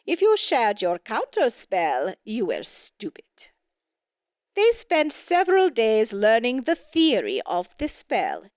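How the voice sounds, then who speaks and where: A woman narrating a scripted fairy tale with expressive, deliberate emphasis. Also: a little high, no echo, studio quality. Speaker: story narrator.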